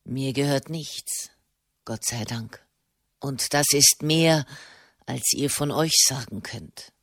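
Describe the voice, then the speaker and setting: Woman doing a low, growling grouchy monk, slow and sharp-tongued, storybook. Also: no echo, studio quality, heavily acted. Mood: grumbling. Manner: growling, cutting. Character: gruff old hermit monk, scornful and sharp-tongued.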